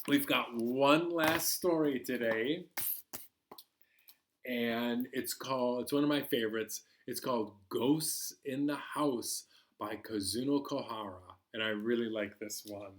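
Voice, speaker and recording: A man hosting a storytime for children, reading aloud expressively in a deliberate, encouraging way. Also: medium pitch, slight background noise. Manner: slow, deliberate storytelling with dramatic pauses. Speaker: library storytime host.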